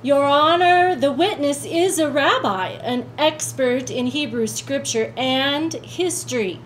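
A woman doing a brisk prosecutor. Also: high voice, slight background noise. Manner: brisk, questioning. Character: brisk female courtroom prosecutor.

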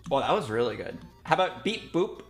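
A man's voice: on the high side, big pitch swings, fairly quick, faint music behind. A man in his twenties chatting casually as a streamer while he directs a recording session. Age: 20s. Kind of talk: casual chat, giving directions. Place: indoor streaming room.

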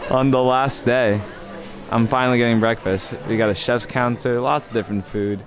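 A man's voice: mid-range voice, unhurried. Chatty young man vlogging a buffet. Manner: upbeat, chatty, reads signs aloud. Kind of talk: casual travel vlog narration. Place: indoor buffet restaurant on a cruise ship. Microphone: handheld camera at arm's length. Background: background music playing.

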